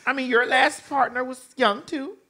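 A woman in her forties hosting a casual gossip talk show, with a playful, teasing and animated manner. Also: high-pitched, moderate pace. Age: 40s.

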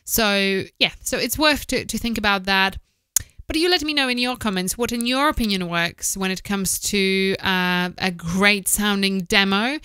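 Woman in her thirties, very smooth crisp voice, dry close-mic sound, casual advice, emphatic repetition. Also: mid-range voice, unhurried, pushed and bright, no echo. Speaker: host, voice-over producer. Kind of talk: casual instructional podcast talk to camera. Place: small home office, indoors. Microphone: close studio microphone with foam windscreen, headphones.

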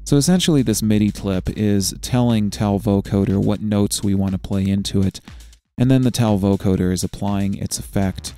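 A man narrating a step-by-step software tutorial in a methodical, explanatory way. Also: lowish voice, normal speed, smooth, faint music behind.

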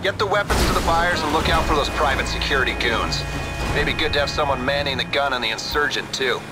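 A man playing a criminal associate in a video game. His voice is on the high side and he gives brisk orders, with music clearly behind him. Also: close to an everyday voice. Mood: businesslike. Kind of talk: video game mission briefing.

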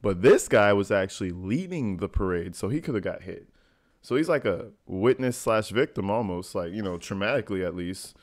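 Young American male reaction host, lowish voice, loose conversational slang with plenty of swearing. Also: lively intonation, moderate pace. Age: young adult. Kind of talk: casual reaction commentary. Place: small indoor home room, gaming chair at desk.

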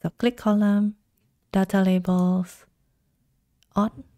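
A woman giving calm, step-by-step instructions in a screen-recorded software tutorial. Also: medium pitch, no room sound, clean studio sound. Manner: calm step-by-step instructions, slow deliberate pacing.

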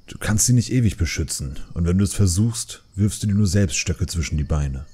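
A man voicing an earnest older pandaren brewmaster uncle in a fantasy audiobook, reasoning and remonstrating in a measured manner. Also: low-pitched, expressive, dark tone, slightly rough. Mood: earnest, firm.

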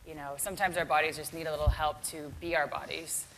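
A woman's voice: low voice, fairly even intonation, fast, a little hiss or hum, low-quality recording. A woman yoga teacher giving a casual keynote talk with a live demonstration, chatty and self-deprecating.

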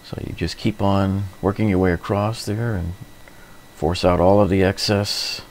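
A man's voice: low-pitched, fairly even intonation, unhurried, darkish tone, a little hiss or hum. A middle-aged man narrating a hands-on renovation tutorial in an informal, explanatory way, often repeating himself. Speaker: DIY renovation host.

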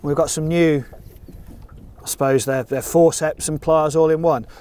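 A man's voice: on the high side, steady tone, moderate pace, a little mellow, clean tone. A middle-aged man gives casual, matter-of-fact instructional commentary as an angler. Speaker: competition angler presenting a fishing film. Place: open boat on a lake, outdoors. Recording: outdoor water and boat noise.

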